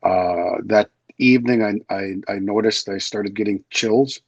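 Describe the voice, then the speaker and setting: A man's voice: fairly low pitch, unhurried, husky. A man in his forties recalling an illness hesitantly, with repeated false starts. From Saskatchewan, Canada. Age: 40s. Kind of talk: interview recollection. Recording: muffled, dull sound.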